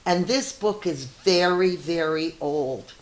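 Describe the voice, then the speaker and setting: A woman's voice: on the low side, takes it slowly. A middle-aged or older woman teacher reading a storybook to children, explaining things simply and addressing young listeners directly.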